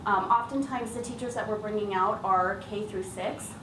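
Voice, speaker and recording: A woman's voice: medium pitch, steady tone, normal speed. A woman teacher giving an informal, explanatory public presentation about her work, speaking as a lead trainer. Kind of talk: public presentation, semi-prepared talk. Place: echoey room at an event. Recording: roomy, distant mic, clearly noisy background.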